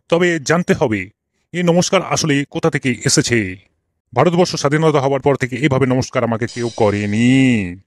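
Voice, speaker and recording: A man's voice: mid-range voice, dry recording, close to an everyday voice. A man playing a cranky old schoolmaster in a comic cartoon, scolding and ranting with exasperated, theatrical rhetorical questions. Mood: pompous lecturing. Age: older.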